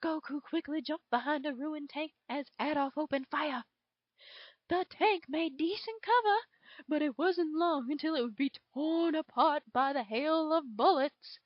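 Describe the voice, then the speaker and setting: Woman putting on a very high Southern belle dragon storyteller. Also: dry recording. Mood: dramatic storytelling. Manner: theatrical, drawn-out emphasis. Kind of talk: dramatic fan fiction reading. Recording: dull lo-fi home recording.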